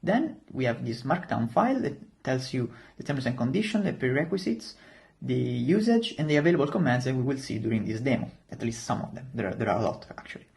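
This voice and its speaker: A young man presenting an informal software demonstration, walking the listener through it step by step. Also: medium pitch, big pitch swings, brisk, gravelly. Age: young adult. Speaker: student demo presenter.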